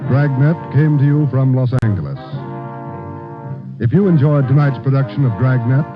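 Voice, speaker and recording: An American man announcing a radio drama in a solemn, measured, scripted 1940s style. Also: mid-range voice, lively intonation. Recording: muffled old radio broadcast with music.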